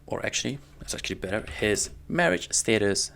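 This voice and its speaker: Creaky low male voice, casual coding tutorial, explains stuff step by step. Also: expressive, fairly quick, fairly bright tone. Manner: informal, step-by-step explaining, often checking with "okay?" and "right?". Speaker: programming tutorial instructor. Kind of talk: casual screen-recorded coding lesson. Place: indoors at a computer.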